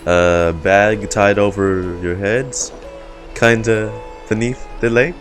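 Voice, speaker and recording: A streamer giving casual reaction commentary on a game, blunt and excitable. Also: low voice, takes it slowly, faint music behind.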